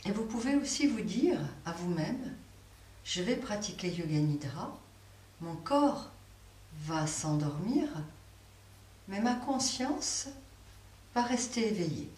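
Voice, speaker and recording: A woman teaching a guided yoga nidra relaxation, speaking softly with long pauses. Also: medium pitch, very animated. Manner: slow, soft, pausing guidance. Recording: roomy, distant mic with faint hiss.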